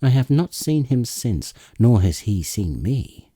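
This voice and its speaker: Assured goddess in seafarer's guise male reader, epic audiobook; slow, declamatory, widely swooping intonation. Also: medium pitch, big pitch swings, dark tone, husky, dry recording, studio quality, exaggerated voice. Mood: confident, foretelling. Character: grey-eyed goddess disguised as a seafaring lord, assured, questioning. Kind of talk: dramatic epic audiobook reading.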